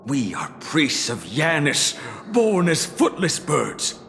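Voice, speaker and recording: A stern slow-spoken priest. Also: male voice, a little high, wildly swooping pitch, vocal fry, a bit bright, faint music behind, heavily acted. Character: stern devout male priest, proud. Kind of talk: game cutscene voice acting. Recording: echoey hall reverb.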